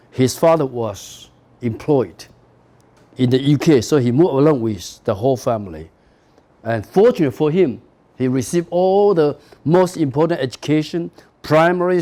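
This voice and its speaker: A man's voice: a little high, warm tone. A middle-aged man from Taiwan, a native Taiwanese speaker with a Taiwanese accent, giving a university lecture in English with frequent "you know" and "okay" and emphatic stresses. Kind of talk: classroom lecture.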